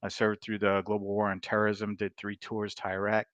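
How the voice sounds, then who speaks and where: A man from Rhode Island, a military veteran, talking as a podcast guest in a relaxed way with many fillers. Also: lowish voice, brisk, dry recording, lo-fi sound. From Rhode Island, United States. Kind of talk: casual conversation.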